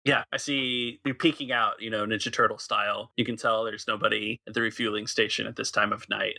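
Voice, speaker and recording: A man's voice: fairly low pitch, vocal fry, pushed and bright. A man running a tabletop game as game master, describing the map and calling for dice checks.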